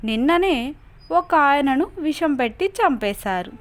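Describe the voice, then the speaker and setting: A woman's voice: high voice, expressive, a little slow. A woman voicing a gossiping village woman who warns strangers with rumors, in a cartoon story. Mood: gossipy. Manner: warning, gossipy.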